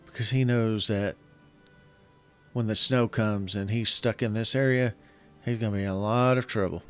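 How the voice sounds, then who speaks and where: A man with a slightly scratchy voice, casually commenting while he plays a strategy game and thinking aloud in halting, self-correcting phrases. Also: lowish voice, steady tone, smooth. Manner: thinks aloud, halting, restarts mid-sentence. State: slightly scratchy voice from illness and yelling. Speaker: gaming channel host. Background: faint game music.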